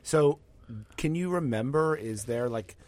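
A man's voice: a little high, animated, unhurried, a little mellow. A man hosting a casual interview, asking loose, easygoing questions. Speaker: host.

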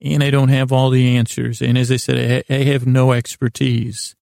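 Creaky, mellow man, rambling and mumbly. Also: mid-range voice, flat delivery, normal speed, no room sound. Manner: meandering, mumbly, smushes and stumbles over words; soothing, lulling, friendly. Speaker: host of a sleep podcast. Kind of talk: rambling bedtime monologue to help listeners fall asleep.

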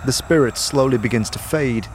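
A man's voice: mid-range voice, moderate pace, noisy background. A man giving scripted second-person narration for a fantasy audio drama. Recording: music behind the voice.

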